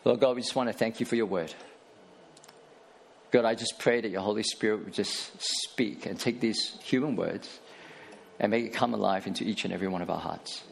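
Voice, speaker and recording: Forties guy pastor giving a sermon, kinda low pitched, chatty with lots of uh's and restarts. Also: fairly quick, gravelly, a little hiss or hum. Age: 40s. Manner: conversational, many fillers and restarts. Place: church.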